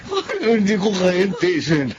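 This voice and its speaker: A man's voice: sits high, huge pitch swings, unhurried, heavily acted. A man playing a vengeful, heartbroken betrayed husband with anguished wailing, in melodramatic radio drama style. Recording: muffled radio drama, noisy background.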